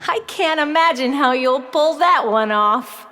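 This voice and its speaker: A woman playing a bright, earnest, precocious nine-year-old girl in a children's audio play, articulate and excitable. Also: sits high, unhurried, full character voice. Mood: doubtful.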